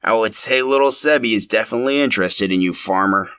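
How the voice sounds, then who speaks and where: A man's voice: on the low side, quick pace, a bit bright, smooth, dry recording, clean studio sound, lightly acted. A man voicing a friendly old hermit from the hills, with a folksy, knowing, amused way of talking, in a storybook reading. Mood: reassuring, amused.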